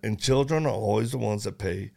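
A man's voice: on the low side, a little fast, gravelly, dark tone. A man hosting a conversation, telling a story earnestly and quoting others, with frequent restarts. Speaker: host. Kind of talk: conversational interview talk.

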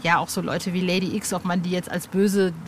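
A woman's voice: lowish voice, level tone, fairly quick. A woman with a German accent interviewing a guest in a colloquial, chatty, fan-like way. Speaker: podcast interviewer. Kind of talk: casual interview chat. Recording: clearly noisy background.

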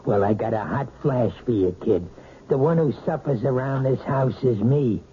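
An actor playing a domineering, bitter husband who runs a trucking company, in a 1970s radio drama. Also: male voice, mid-range voice, moderate pace, close to an everyday voice. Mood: bitter, bullying. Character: bullying trucking boss husband, man, middle-aged. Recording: dull old radio broadcast with faint hiss.

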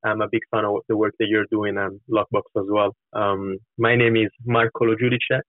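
A man's voice: on the low side, little pitch movement, normal speed. A man in his twenties from Italy with an Italian accent, answering interview questions as a startup founder in an earnest, explanatory way. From Florence, Italy. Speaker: startup co-founder, podcast guest. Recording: roomy, narrow muffled call-like sound.

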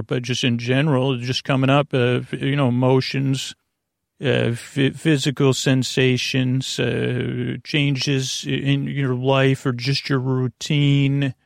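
A man hosting a bedtime podcast in a meandering, hesitant monologue, often interrupting himself, with a gravelly, creaky voice. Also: medium pitch, no room sound, studio quality. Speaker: podcast host.

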